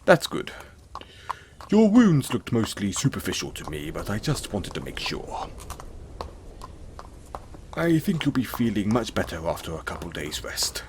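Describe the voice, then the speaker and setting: Gentle low-voiced male centaur, acted by a man. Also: huge pitch swings, unhurried, pauses often, slight background noise. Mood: caring. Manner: gentle, reassuring, hesitant. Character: gentle, kind, apologetic male centaur rescuer. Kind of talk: scripted audio roleplay, speaking to the listener.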